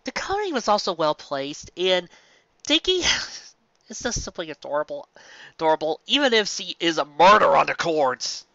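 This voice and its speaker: A man hosting a fan fiction series, narrating and commenting casually in an enthusiastic, halting, stumbling way. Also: high-pitched, big pitch swings, gravelly, sharp tone, dry recording, lo-fi sound.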